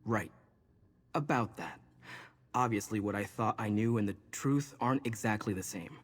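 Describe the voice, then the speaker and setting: An actor playing a guarded mercenary with magic powers in a fantasy video game, speaking earnestly and explaining things. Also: male voice, lowish voice, normal speed, long reverb tail, lightly acted. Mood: confiding. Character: male mercenary wielder of magic power, raised in a vault, guarded protector.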